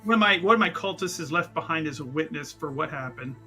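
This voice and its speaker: A man's voice: a little high, animated, fast talker, over music. A man playing a tabletop game, chatting casually about what his character does.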